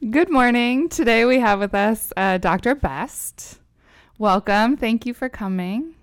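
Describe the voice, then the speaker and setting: A woman's voice: a little high, lively intonation, unhurried, smooth, no room sound, clean studio sound. A woman hosting a conversational interview, asking informal questions and putting in short interjections. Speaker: host, physician interviewer.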